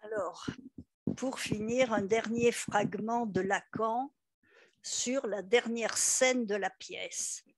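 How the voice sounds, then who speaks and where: An older woman introducing readings at an event, speaking in a halting way with fillers, into a handheld microphone in a conference room. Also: fairly high pitch, gravelly, no room sound, rough recording. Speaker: event presenter.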